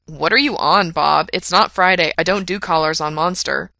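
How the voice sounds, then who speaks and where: Woman voicing a forceful, flustered male punk radio DJ. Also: fairly low pitch, moderate pace, gravelly, dry recording, clean studio sound. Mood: irritated. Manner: emphatic, blunt, on-air patter.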